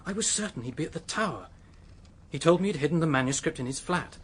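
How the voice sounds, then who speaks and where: A man's voice: on the high side, lively intonation, quick pace, breathy edge. An actor in a radio drama playing a desperate, remorseful young man, speaking in an earnest, pleading way. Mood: confessing. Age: young adult. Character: desperate, remorseful young male clerk confessing to a killing.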